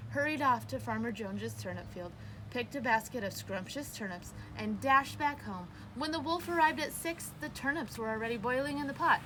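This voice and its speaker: A woman reading a children's picture book aloud as a storyteller, with expressive, emphatic narration. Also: fairly high pitch, a little hiss or hum, rough recording.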